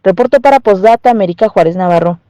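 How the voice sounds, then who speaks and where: A woman's voice: medium pitch, fast, mellow, no room sound, highs cut off. A woman with a Mexican accent reading a scripted news report as a reporter.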